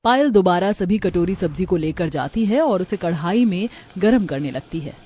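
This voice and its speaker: A woman's voice: lowish voice, animated, normal speed, warm tone. A woman narrating a scripted moral story as a storyteller.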